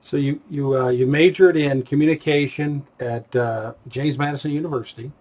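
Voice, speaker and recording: A man's voice: medium pitch, steady tone, moderate pace, a little mellow. A man hosting a casual, conversational interview and putting questions to his guest. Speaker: interviewer, host.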